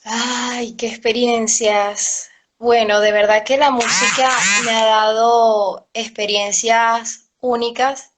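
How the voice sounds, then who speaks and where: A woman with a Venezuelan accent, a cello teacher answering interview questions in a chatty way, often laughing and filling pauses. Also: fairly high pitch, level tone. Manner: chatty, laughs often, many fillers like "este". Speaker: cello teacher and orchestra program director.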